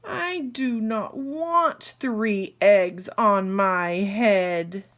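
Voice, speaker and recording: Woman as worried elephant, exasperated, emphatic, very slow delivery, children's storybook. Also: medium pitch, fairly bright tone. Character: Gerald, anxious, exasperated elephant. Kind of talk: children's storybook read-aloud.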